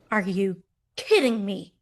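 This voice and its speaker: An actress playing a pragmatic, easily exasperated millennial American twin in a comedic table read. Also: female voice, medium pitch.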